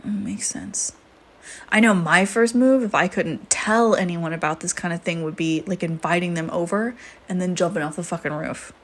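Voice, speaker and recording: A woman voicing a flirty, teasing, predatory female vampire in an intimate audio roleplay, with playful banter and breathy murmurs. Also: fairly low pitch, normal speed, close to an everyday voice. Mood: musing, teasing.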